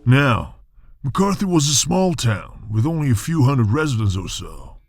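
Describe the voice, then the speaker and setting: An actor playing a folksy old-west storyteller, narrating a western radio serial in a wry manner. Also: male voice, mid-range voice, very animated, unhurried, creaky. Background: music behind the voice.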